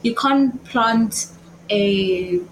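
A young woman who is not a native speaker, chatting casually on a panel and pausing to find her words. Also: mid-range voice, a little hiss or hum, rough recording. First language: not English. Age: young adult. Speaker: podcast panelist. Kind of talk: casual faith discussion.

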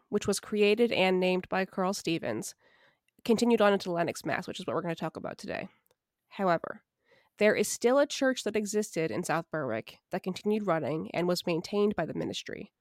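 A woman's voice: mid-range voice, no room sound, studio quality. A woman co-hosting a podcast in casual chat, sick and a little nasal and scratchy, wry and self-mocking with frequent laughs. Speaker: podcast co-host.

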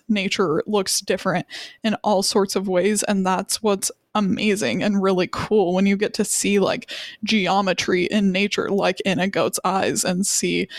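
A woman's voice: mid-range voice, dry recording, clean studio sound. A woman co-hosting a podcast in casual chat, earnest and thinking aloud as she goes. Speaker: podcast co-host.

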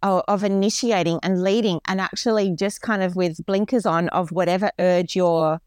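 A woman hosting a podcast, gently guiding the conversation and encouraging her guest. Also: on the low side, steady tone, moderate pace, smooth, dry recording, clean studio sound. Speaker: podcast host. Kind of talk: casual interview conversation.

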